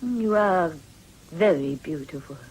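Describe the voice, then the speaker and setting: An actress playing a shrewd, worldly madam in a period radio drama, wheedling in a deliberate, genteel and businesslike way. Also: female voice, lowish voice, lively intonation, a little mellow, slightly rough, heavily acted, poor audio. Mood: gracious, intent.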